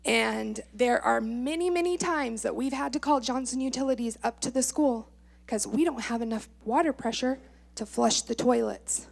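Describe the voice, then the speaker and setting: A middle-aged American woman gives public comment in a fairly high voice edged with vocal fry. She tells her story informally, with exasperated sarcasm, and acts out both sides of conversations. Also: moderate pace. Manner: exasperated, sarcastic, acting out exchanges. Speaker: resident giving public comment. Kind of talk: informal personal testimony. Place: indoor public meeting.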